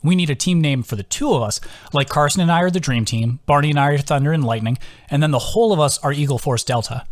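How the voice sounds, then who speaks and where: A man's voice: a little high, quick pace, hardly any pauses, dry recording, studio quality, natural voice. A man voicing an earnest, playful young male adventurer with a casual, bantering manner in an audiobook.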